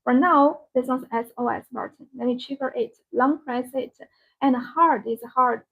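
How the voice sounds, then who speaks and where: A woman hosting a live product demonstration, speaking in halting, repetitive, non-native phrasing. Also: high voice, creaky, noisy background. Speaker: live-stream sales host demonstrating a product. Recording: dull, band-limited live-stream audio.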